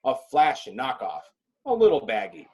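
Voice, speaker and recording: A man with a husky voice narrating a script in a clipped, descriptive reading style. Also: medium pitch, wildly swooping pitch, normal speed, darkish tone.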